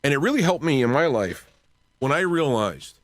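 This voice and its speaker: Older male radio host, mid-pitched, thinking aloud earnestly in halting phrases, dry close studio sound. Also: big pitch swings, no room sound. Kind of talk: unscripted radio monologue. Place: cluttered home radio studio, indoors. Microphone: close studio microphone with headset.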